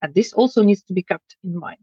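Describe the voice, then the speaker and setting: A woman guest lays out her points in a measured, explanatory way, slipping in dry humor. Her mid-pitched voice comes through a dull, muffled remote connection with no room sound at all. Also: mellow, slightly rough, dry recording. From Finland. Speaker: guest customer experience expert. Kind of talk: interview answers.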